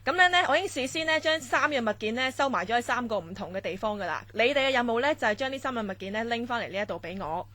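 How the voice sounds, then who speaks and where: A woman plays a brisk assistant instructor in a radio play. Her voice is bright and a little high. She lays out tasks in a chatty, businesslike way. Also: expressive. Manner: explaining tasks, chatty fillers. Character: brisk female training-camp assistant instructor. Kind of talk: Cantonese radio drama acting.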